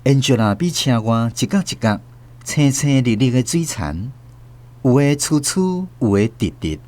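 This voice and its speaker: A man's voice: medium pitch, big pitch swings, a little slow, darkish tone. An actor with a Taiwanese accent playing a wistful older man who narrates his memories of a lost love in a radio drama. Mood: reflective.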